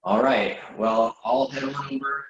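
A man acting a reluctant, sensible friend with a halting, incredulous manner in a readers theatre comedy, recorded in an echoing room. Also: medium pitch, muffled recording, lightly acted. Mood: resigned. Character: exasperated, reluctant male friend, sensible but awkwardly polite.

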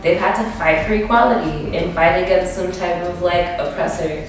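A woman's voice: fairly low pitch, normal speed, far from the mic. Woman in her twenties, roomy off-mic sound. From DMV area, US. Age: 20s. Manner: candid, talks with many likes and restarts. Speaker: podcast guest, model and DJ. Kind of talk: casual conversation.

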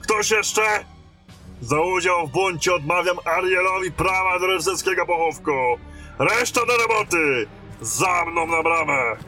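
A man plays a blunt, battle-worn captain from a fantasy radio play. His voice is sharp and forceful, set a little high for a man. He speaks with command, as one used to giving orders, with music playing softly beneath him. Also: measured pace. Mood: barking orders. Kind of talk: fantasy audio drama acting.

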